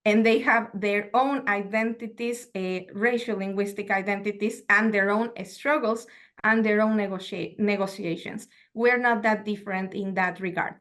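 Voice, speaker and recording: A woman's voice: mid-range voice, steady tone, a bit bright. Woman giving a reflective lecture. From Mexico. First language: Spanish. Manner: measured, reflective, asks rhetorical questions. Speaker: university lecturer. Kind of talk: academic lecture.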